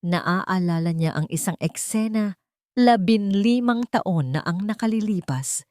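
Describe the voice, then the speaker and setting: A woman's voice: mid-range voice, a little slow, darkish tone, no room sound. Dramatic woman storyteller, close and dry. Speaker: story narrator. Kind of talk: dramatic scripted narration of a love story.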